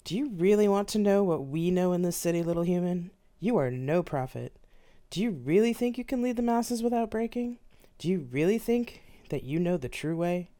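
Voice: mid-range voice, animated, normal speed, mellow, voice pushed up, close to an everyday voice. Someone doing a taunting fire spirit. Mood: mocking. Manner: taunting, drawling. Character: mocking, imperious fire-wielding desert spirit, female.

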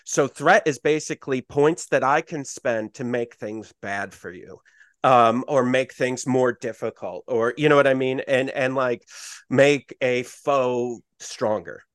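A man's voice: mid-range voice, a little slow, dry recording, clean studio sound. A man acting as game master on a podcast, explaining dice rules in a loose, self-correcting way with plenty of fillers.

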